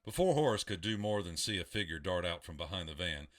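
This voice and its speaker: A man narrating an audio drama in a steady storytelling voice. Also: sits low, fast.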